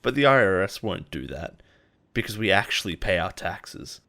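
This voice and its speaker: An actor playing a possessive male boss in an intimate roleplay audio drama, coaxing and quietly menacing. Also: low-pitched, very animated, moderate pace, exaggerated voice. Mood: agitated ranting. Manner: soft-spoken coaxing, chuckles and sighs, quietly menacing.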